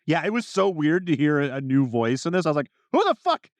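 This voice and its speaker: A man's voice: on the high side, big pitch swings, fairly quick, no echo. A man co-hosting a podcast, talking through ideas in a casual, informal way. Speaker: podcast co-host.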